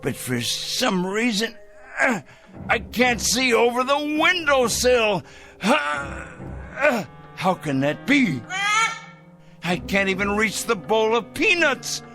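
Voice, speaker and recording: A man's voice: very high voice, big pitch swings, takes it slowly, vocal fry, sharp tone, faint music behind, full character voice. An actor playing a paranoid, smug middle-aged man in a 1960s TV drama, talking theatrically to his pet bird. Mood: exultant. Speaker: fanatical letter-writing crank.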